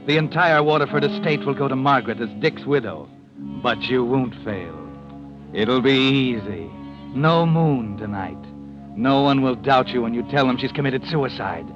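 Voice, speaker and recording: Male narrator, acted, vintage mystery radio: insinuating, knowing, theatrical, dulled old recording over clear music. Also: medium pitch, muffled recording, natural voice. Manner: dramatic, insinuating second-person narration. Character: sardonic male narrator addressing the schemer, ominous and knowing.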